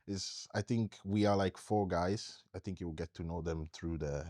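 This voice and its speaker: Podcast co-host, young man from Italy, deep relaxed voice, easygoing casual delivery, dry close sound. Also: moderate pace, no echo. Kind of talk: casual conversation.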